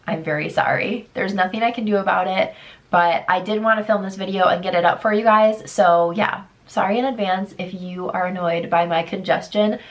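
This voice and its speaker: A woman's voice: medium pitch, moderate pace, lo-fi sound. A young woman with a stuffy, congested voice, chatting candidly through a beauty product try-on and reading product blurbs aloud. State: congested, sick. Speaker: beauty vlogger. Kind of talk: casual product try-on chat.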